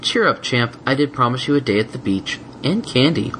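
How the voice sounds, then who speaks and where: A man's voice: mid-range voice, animated, brisk, noisy background, voice pushed up, close to an everyday voice. Man putting on a raised, coaxing female pony voice. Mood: fond coaxing. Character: teasing, motherly young female unicorn pony.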